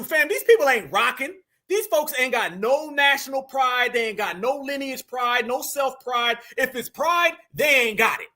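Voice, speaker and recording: A man's voice: very high voice, bright, forceful tone. A man giving heated reaction commentary, speaking straight to his audience as family in a fired-up, preaching way. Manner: fired-up, addresses audience directly as "fam" and "y'all". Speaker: online commentator.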